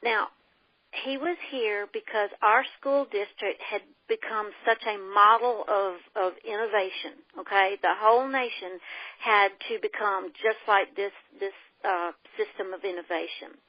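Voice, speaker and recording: A woman's voice: medium pitch, steady tone, measured pace, no room sound. The sound is a dull, close telephone connection with no room around it. On it a woman from the United States explains her case as an invited speaker. She is opinionated and emphatic, and she reaches for homely, folksy expressions. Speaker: guest speaker, education activist. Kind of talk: explanatory talk on a conference call. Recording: dull telephone conference-call line.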